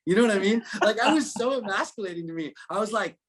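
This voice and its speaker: Husky, higher-voiced man chatting. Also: quick pace. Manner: animated storytelling, frequent profanity and filler phrases. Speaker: musician guest. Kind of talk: casual interview chat.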